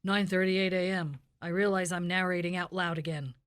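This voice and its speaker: A woman playing a deadpan, hard-boiled detective in a parody police radio drama, narrating the case in clipped sentences. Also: fairly low pitch, moderate pace, clean tone, natural voice. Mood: clipped, businesslike.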